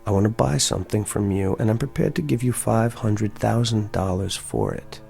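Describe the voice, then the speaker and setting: An actor playing a persistent suitor in a TV drama, talking in a deliberate, persuasive way. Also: male voice, fairly low pitch, animated, creaky, mellow, faint music behind. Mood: cool, businesslike. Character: persistent, calculating suitor bargaining with his sweetheart's father. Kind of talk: scripted TV drama acting.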